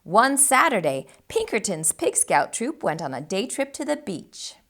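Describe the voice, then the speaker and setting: A woman hosting a storytime, reading a children's book aloud in an animated style for young listeners. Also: mid-range voice, a little slow.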